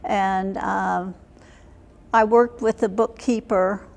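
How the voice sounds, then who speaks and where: Elderly woman, unhurried slow pace, monotone, plain-spoken reminiscing, interview guest. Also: medium pitch, vocal fry. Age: 70s or older. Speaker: interview guest, military veteran. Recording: long hall reverb.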